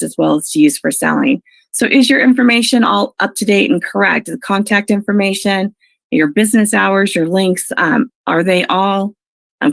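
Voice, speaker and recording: A woman presenting an informational webinar in an instructive, encouraging way, her voice froggy and gravelly from allergies. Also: on the low side, no room sound. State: froggy from allergies, clearing throat.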